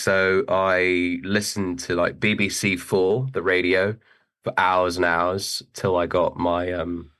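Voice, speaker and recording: A young man with a British accent tinged with American, speaking as an actor guest in a relaxed, hesitant interview chat, laughing easily. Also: low-pitched. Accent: British with a hint of American.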